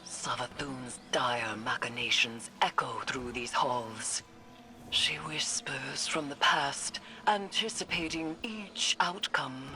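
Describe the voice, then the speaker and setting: An actress playing a grave, stern witch in a video game, solemn and portentous, with formal measured diction. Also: rumbling low, over music. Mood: ominous. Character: grave, stern witch woman steeped in dark magic. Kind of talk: scripted video game voice acting.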